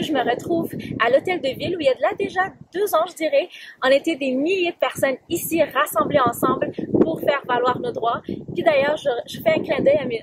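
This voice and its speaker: Lively high-pitched woman with a gravelly edge. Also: noisy background. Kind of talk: video testimonial.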